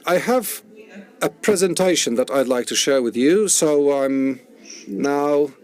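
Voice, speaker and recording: A man works as a simultaneous interpreter at a conference, with a slightly high voice. He keeps close behind the speaker, restarting and rephrasing phrases as he goes. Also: expressive, a little slow, darkish tone. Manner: rephrases and restarts while rendering speech.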